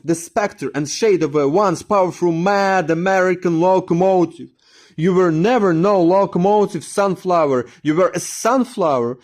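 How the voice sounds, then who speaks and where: A man giving a dramatic poetry reading, moving from low, slow brooding passages to higher, louder, fervent ones. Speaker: poetry reader.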